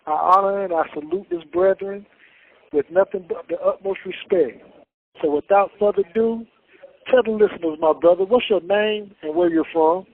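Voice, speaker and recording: A man hosts a prison interview show, speaking in a formal, solemn, activist register. His voice sits high and has a gravelly edge. It comes through a tinny phone line. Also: expressive, moderate pace. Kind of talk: scripted intro then interviewing. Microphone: prison phone call.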